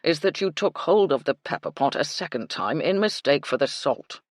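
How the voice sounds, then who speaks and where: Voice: mid-range voice, animated, normal speed, dry recording, clean studio sound, natural voice. A narrator voicing a stiff, formal male hotel manager who speaks in a brittle, proper way.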